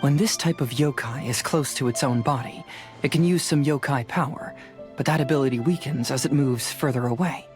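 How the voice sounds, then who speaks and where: An actor playing a composed young detective in a video game, explaining things in a measured, articulate, confident way. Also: male voice, mid-range voice, over music, natural voice. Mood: calm, firm.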